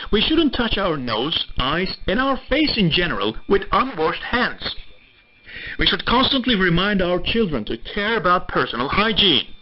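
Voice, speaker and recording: High-pitched, animated male shortwave radio announcer. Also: slight background noise. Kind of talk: scripted public information announcement. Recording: dull narrowband shortwave radio reception.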